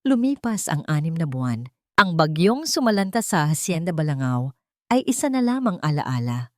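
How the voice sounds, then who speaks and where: Woman narrator, low voice, animated rise and fall, dry close sound, dramatic storytelling. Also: no room sound. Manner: measured, dramatic pacing with expressive rises.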